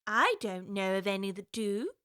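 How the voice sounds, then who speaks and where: An actress playing a polite, curious young girl who speaks earnestly, timid yet quick to object. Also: mid-range voice, very animated, pushed and bright, clear, resonant tone, no echo, studio quality. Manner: earnest, timid yet indignant, well-spoken. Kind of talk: audiobook drama acting.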